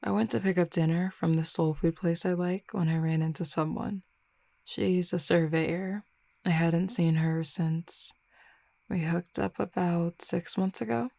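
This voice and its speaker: Woman acting as a flirty werewolf woman, relaxed and teasing, dark mellow tone, close dry sound, audio roleplay. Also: lowish voice, gravelly, no echo. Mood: casual, chatty. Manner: relaxed, teasing, affectionate, unhurried. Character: flirty, easygoing female werewolf pack member, confident and teasing. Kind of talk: intimate roleplay audio drama, casual one-sided conversation.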